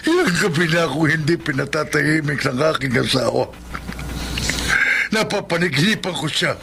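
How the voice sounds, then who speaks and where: An actor playing a guilt-ridden, haunted rich man in his sixties in a Filipino radio horror drama, halting and anguished. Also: a little high, animated, gravelly, background noise. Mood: anguished, confessing. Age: 60s.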